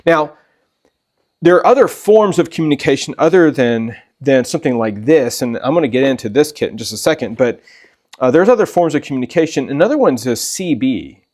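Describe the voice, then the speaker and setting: Man, interview host, informal, conversational, medium pitch, echoes phrases. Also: animated, brisk, a little mellow. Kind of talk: casual interview chat.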